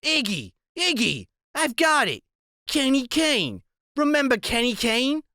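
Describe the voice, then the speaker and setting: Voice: sits high, big pitch swings, unhurried, pushed and bright, no echo, clean studio sound, pitched above the performer's own voice, full character voice. A reader voicing a squinting, chain-smoking woman in a robe who talks in a nagging, fretful way. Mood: excited.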